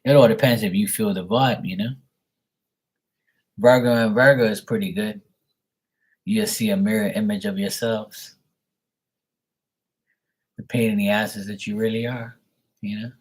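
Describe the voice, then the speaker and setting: A man with a husky voice talking casually on a live tarot reading stream, blunt and opinionated, rambling and swearing freely. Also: fairly low pitch, fairly even intonation, a little slow, pauses often, low-quality recording.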